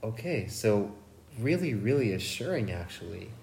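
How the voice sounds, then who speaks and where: A man's voice: on the low side, sing-song, normal speed, creaky, a little mellow, a little hiss or hum. A man co-hosting a casual Bible study podcast, thoughtful and enthusiastic, often restarting his phrases. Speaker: podcast co-host.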